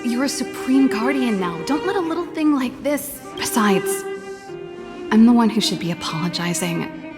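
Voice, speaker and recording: An actress voicing a wistful, guarded woman inventor in a video game, speaking casually and haltingly. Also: fairly high pitch, animated, natural voice. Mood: apologetic. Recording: loud music over the voice. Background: music.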